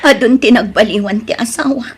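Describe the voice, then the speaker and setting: A woman's voice: fairly high pitch, brisk, a little hiss or hum, close to an everyday voice. A woman playing a patient but reproachful, devout wife in an audio drama, plain and pleading, with an Ilocano accent. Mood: worried.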